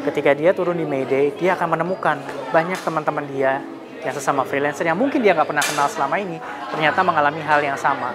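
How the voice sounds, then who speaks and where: A man's voice: a little high, fast, music in the background, crowd noise. A man giving an earnest interview statement about organizing, explaining steadily with small restarts. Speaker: union chair speaking at panel.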